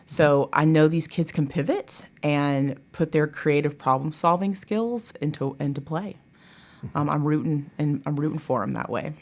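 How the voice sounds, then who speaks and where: Casting: woman, low register, expressive and emphatic conversational delivery, college drama professor type on a podcast. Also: moderate pace. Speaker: college theatre director and professor, podcast guest. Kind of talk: casual interview chat.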